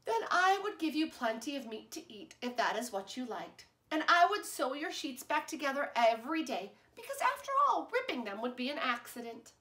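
A woman's voice: sits high, very animated, normal speed, hardly any pauses, bright, forceful tone, heavily acted. A woman voicing a tender, reassuring mama. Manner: tender, reassuring storybook delivery. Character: loving, patient mother. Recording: roomy echo.

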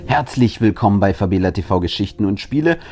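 Casting: male narrator and host for interactive spooky fiction, dramatic and animated in pitch, over music. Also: quick pace. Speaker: host and story reader. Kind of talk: dramatic storytelling for an interactive story.

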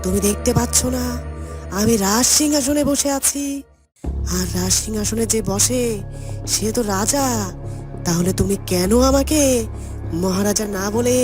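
A woman's voice: on the high side, measured pace, pauses often, natural voice. A woman voicing a scheming sorcerer who poses as king in a fairytale cartoon, boastful and commanding. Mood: indignant, domineering. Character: scheming, power-hungry usurper sorcerer posing as king. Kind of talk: dramatic cartoon voice acting. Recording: loud music bed. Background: music.

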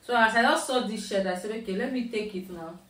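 A woman's voice: fairly high pitch, brisk, fairly bright tone. A woman with a Yoruba Nigerian accent chatting casually to camera about her shopping haul at home, slipping in Pidgin phrases. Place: at home, indoors. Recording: roomy, mic at a distance.

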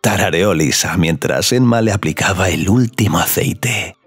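A man narrating scripted audio fiction in a slow, sensual storytelling manner. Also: deep voice, expressive, slightly rough, no room sound, faint music behind.

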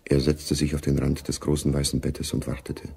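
A man narrating a radio drama as a grave, brooding storyteller, measured and sombre. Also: a bass voice, completely flat delivery, vocal fry, mellow.

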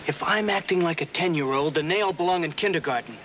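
A man's voice: on the high side, fast talker, natural voice. Man as sullen teenage boy, very noisy film. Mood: sullen, scornful. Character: sullen, scornful teenage boyfriend. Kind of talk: 1950s film acting. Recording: very noisy old film soundtrack.

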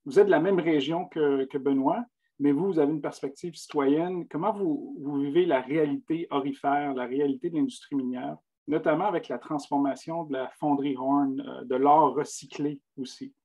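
Mellow Quebec French panel moderator. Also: male voice, fairly high pitch, fairly even intonation. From Abitibi-Témiscamingue, Quebec. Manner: wordy, halting questions with restarts. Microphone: webcam on a video call.

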